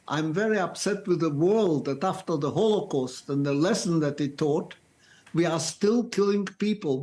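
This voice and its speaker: Slow-speaking elderly man. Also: on the high side, darkish tone. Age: 90s. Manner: slow, deliberate storytelling with restarts. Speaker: Holocaust survivor guest. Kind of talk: interview, personal testimony.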